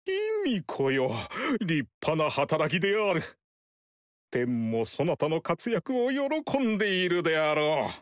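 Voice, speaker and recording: A man's voice: a little high, expressive, dry recording, studio quality. An actor playing a grandiose male sorcerer-priest who proclaims heaven's will in a solemn, archaic way. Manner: solemn and pompous, slow declaiming. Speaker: Zhang Jiao. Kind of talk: game voice acting.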